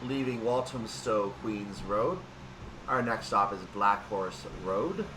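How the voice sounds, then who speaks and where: A man's voice: fairly low pitch, slow pace. A man giving casual, methodical gameplay commentary, narrating each action step by step as he goes. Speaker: gaming video host. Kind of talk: casual live train simulator commentary. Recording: distant mic with loud steady background noise.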